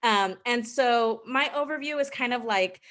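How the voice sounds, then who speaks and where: A woman's voice: a little high, measured pace, fairly bright tone. A woman in her twenties giving a casual online talk from home as a guest speaker, chatty with frequent ums. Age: 20s. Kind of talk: online presentation.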